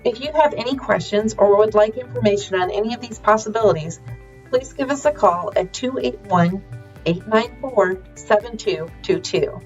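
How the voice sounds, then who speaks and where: A woman reading a scripted charity announcement, listing items briskly. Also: mid-range voice. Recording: dull audio with faint music.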